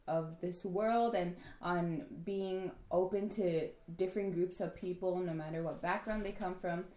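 A young woman from Hong Kong talks through an interview in a relaxed, halting way, stopping and restarting her sentences. Her voice sits a little low. The room around her is noticeably echoey. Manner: hesitant, many restarts and fillers. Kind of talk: casual interview conversation.

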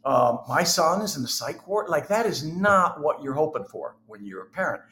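A man's voice: fairly high pitch, very animated, moderate pace, bright, forceful tone. A middle-aged man telling personal stories candidly and conversationally as an interview guest.

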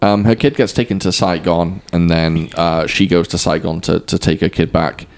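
A man's voice: low voice, normal speed, creaky. A young man with a Welsh accent, co-hosting a casual film review chat and laughing often as he tells stories. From Wales. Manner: laughs often, animated storytelling. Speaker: podcast co-host.

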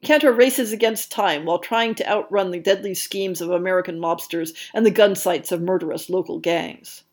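A woman hosting a podcast, reading scripted narration in a measured, articulate and expressive way. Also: lowish voice. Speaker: podcast host. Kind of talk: scripted narration, reading book listings and blurbs.

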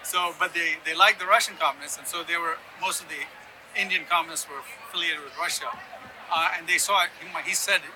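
A man's voice: on the high side, fairly quick, a crowd in the background, low-quality recording. A man from Ghana and East Africa giving opinionated political explanations in an interview, often checking in with "right?". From born in Ghana, grew up in Sudan, Yemen and Ethiopia. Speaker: interview guest, conservative activist.

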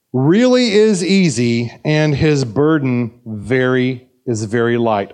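A man's voice: medium pitch, a little mellow. Chatty, joking male pastor. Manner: conversational, jokey, frequent restarts and fillers. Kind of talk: sermon with anecdotes. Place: church service.

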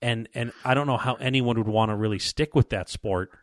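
A man's voice: mid-range voice, brisk, no echo, studio quality. A man hosting a podcast, chatting casually and reading sponsor plugs in a rambling way that trails off. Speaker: podcast host.

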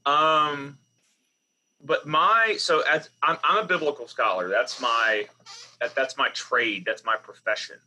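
A man with a Southern US accent and a very bright, sharp tone talks on a video call. He speaks as a biblical scholar on a panel, chatty and self-deprecating, often restarting his sentences. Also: mid-range voice, unhurried, slight background noise, poor audio. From Southern United States. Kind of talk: online panel discussion.

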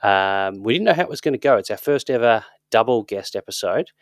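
A man with a lowish, slightly croaky voice co-hosts a podcast in an easygoing way, steering the chat along. The recording is close and dry. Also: lively intonation, fairly quick, no echo. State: slightly croaky after illness. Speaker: podcast co-host.

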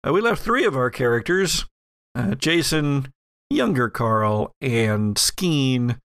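A man's voice: mid-range voice, very animated, slow, fairly bright tone, clean tone, no echo, clean studio sound. A man speaking as host and game master of an actual-play podcast. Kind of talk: reading and casual chat on an actual-play podcast.

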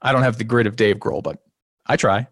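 A man's voice: medium pitch, fairly quick, breathy edge, dry recording, clean studio sound. A man, a singer and guitarist in a band, chatting casually in an interview, a little nasal, rambling and restarting his sentences. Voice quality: slightly nasal. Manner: relaxed and rambling, with many restarts and small laughs.

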